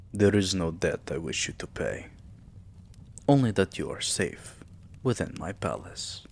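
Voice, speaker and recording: Man voicing a deep, slow, tender vampire king. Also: heavily acted. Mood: fond, reassuring. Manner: slow, hushed, breathy murmur, often dropping to a whisper; regal, doting endearments. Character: tender, possessive immortal vampire king, soothing his beloved pet. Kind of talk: intimate ASMR roleplay acting.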